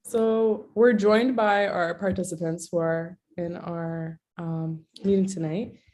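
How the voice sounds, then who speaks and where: A woman's voice: fairly low pitch, fairly even intonation, slow pace, a little mellow, slight background noise. A young woman from Toronto hosting a student dental webinar over a video call, friendly and a little scripted, with frequent "like" fillers. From Toronto, Canada. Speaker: webinar host, student club vice president.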